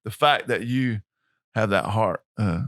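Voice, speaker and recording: A man's voice: on the low side, unhurried, a bit bright, dry recording, studio quality. A pastor hosting a podcast interview, chatting casually and laughing often with his guests.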